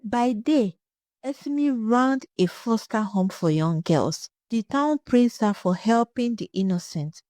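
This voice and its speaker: A woman narrating a scripted folktale in a dramatic, suspenseful way, with short measured phrases. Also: a little high, expressive, dark tone, dry recording, studio quality. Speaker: storyteller.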